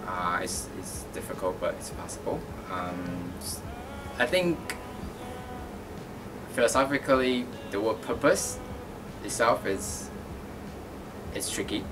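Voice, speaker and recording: A man's voice: on the low side, lively intonation, slow pace, long pauses, background noise. A man from Taiwan, an artist and art teacher, answering interview questions thoughtfully, with many fillers and restarts. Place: gallery. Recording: distant mic with music underneath.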